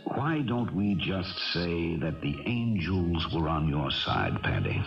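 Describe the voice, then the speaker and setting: An actor playing a calm, assured ghostly businessman in a radio drama, gravelly, unhurried and reassuring. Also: low-pitched, recorded from a distance, heavily acted. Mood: gentle, coaxing. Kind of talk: 1970s radio drama acting. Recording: muffled 1970s radio broadcast, music behind.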